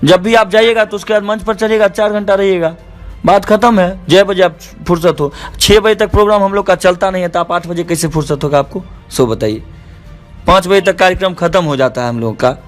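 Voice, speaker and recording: A man's voice: high-pitched, fairly quick. A man with a Bihari accent in an argumentative phone conversation, insistent and repeating himself. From Bihar, India. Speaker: stage show artist and organiser. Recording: recorded phone call with faint music.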